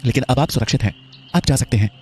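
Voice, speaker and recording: A man voicing a brave, calm fourteen-year-old boy in a historical story, polite and reassuring. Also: mid-range voice, quick pace, slight background noise, voice pushed up, lightly acted.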